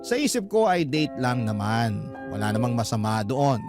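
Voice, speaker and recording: A man narrating a woman letter sender's love story in the first person, as sentimental, measured radio drama storytelling. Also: mid-range voice, big pitch swings, over music, lightly acted. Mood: reflective.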